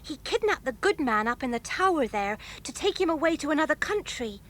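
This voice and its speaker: Brief: plucky fairground child, very high-pitched, urgent and insistent, children's audio drama. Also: female voice, sing-song, fairly quick, a bit bright. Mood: urgent, pleading. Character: plucky young girl from a travelling fair, earnest.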